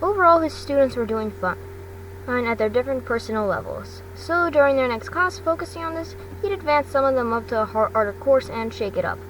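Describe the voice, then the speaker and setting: High-voiced woman reading a story, slightly distant. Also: expressive, slight background noise. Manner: halting delivery with frequent stumbles and self-corrections. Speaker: fan fiction reader. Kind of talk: amateur audiobook-style story narration.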